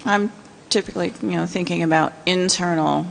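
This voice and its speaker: A soft-spoken woman speaking as a commissioner at a public meeting, hesitant with many fillers. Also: fairly low pitch, fairly even intonation, a little fast, gravelly, long reverb tail, a little hiss or hum, low-quality recording.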